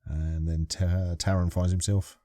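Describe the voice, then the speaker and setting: Deep-voiced rambling male host. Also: little pitch movement, unhurried, mellow, no room sound, studio quality. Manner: rambling questions, frequent restarts. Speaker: podcast co-host. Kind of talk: casual podcast interview.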